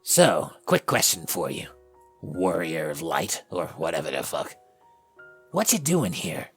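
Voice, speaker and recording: Woman voicing a gravelly, very low menacing male skeleton. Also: measured pace, faint music behind, full character voice. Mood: taunting. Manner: monotone, taunting drawl. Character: menacing, bored male skeleton killer with dry sarcasm. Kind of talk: dramatised fan fiction reading.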